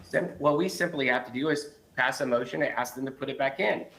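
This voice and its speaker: A man's voice: mid-range voice, brisk, vocal fry, a bit bright, rough recording. A man on a city council arguing against a project at a public meeting, earnest and insistent with frequent restarts, losing his voice.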